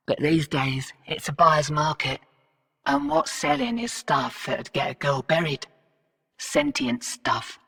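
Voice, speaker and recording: Bright, brash Aussie smuggler, echoing hall. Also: female voice, fairly low pitch, creaky, exaggerated voice. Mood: wry, confiding. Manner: slangy, cheeky, streetwise. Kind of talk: video game character briefing and callouts. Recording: echoey hall reverb, distant sound.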